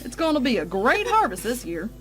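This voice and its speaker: Woman acting a high, folksy farm mare. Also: very animated, brisk. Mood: folksy, satisfied. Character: hardworking country farm mare, hearty and folksy. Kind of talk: cartoon fan fiction voice acting. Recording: clear music behind the voice.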